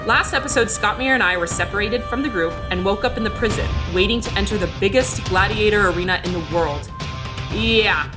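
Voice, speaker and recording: A woman's voice: medium pitch, fairly quick. A woman giving a chatty, lightly wry host intro to an audio drama, over a music bed. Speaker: host and player. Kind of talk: casual show intro.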